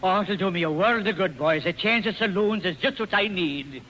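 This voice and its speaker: An actor playing a befuddled, gullible Irish drinker, whimpering and bewildered in an old radio comedy style. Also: male voice, high-pitched, moderate pace, loud music behind the voice, close to an everyday voice, rough recording. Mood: grateful. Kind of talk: 1940s radio comedy acting. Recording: hissy old radio recording.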